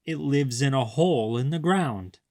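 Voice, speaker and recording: A man doing a gentle mother bird. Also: mid-range voice, animated, moderate pace, no echo, clean studio sound, lightly acted.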